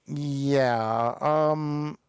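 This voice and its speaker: A man's voice: fairly high pitch, steady tone, drawn-out words, clean tone, dry recording, studio quality. A man hosting a casual review chat, often hesitant as he searches for words and details. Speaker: show host reviewing television episodes.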